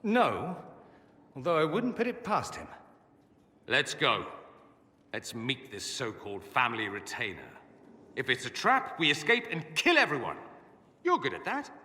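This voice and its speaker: A man's voice: mid-range voice, bright, forceful tone, long reverb tail, full character voice. An actor playing a proud, sardonic young nobleman mage in a video game, articulate and theatrical, with a wounded, defiant edge. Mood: scornful, flippant. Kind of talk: dramatic video game voice acting.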